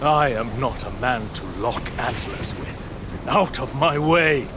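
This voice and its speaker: An actor playing a proud, ruthless aristocratic lord in a gothic audio drama, speaking in an imperious, declamatory way. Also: male voice, medium pitch, takes it slowly, noisy background, lightly acted. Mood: defiant, angry.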